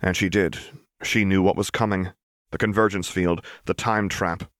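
A man reading an audiobook, narrating dramatic fiction in a measured storyteller's delivery. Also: sits low, steady tone, fairly bright tone, dry recording, clean studio sound.

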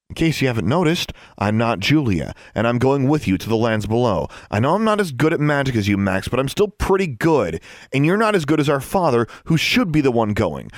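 A man's voice: on the low side, no room sound, studio quality. A man voicing a bold, stubborn thirteen-year-old witch in an audiobook story, defiant and insistent.

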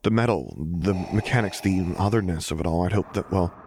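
A man's voice: sits low, brisk, darkish tone, a little hiss or hum. A man voicing a shy, flustered young boy who is anxious to please and speaks hesitantly, with stammers. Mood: sheepish.

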